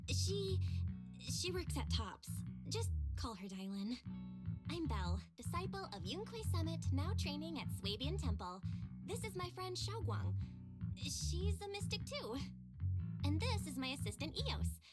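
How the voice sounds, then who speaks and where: A woman playing a kind, composed young mystic disciple in a video game, speaking politely and gently. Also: high-pitched, sing-song, a little slow, loud music behind the voice. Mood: polite, reassuring.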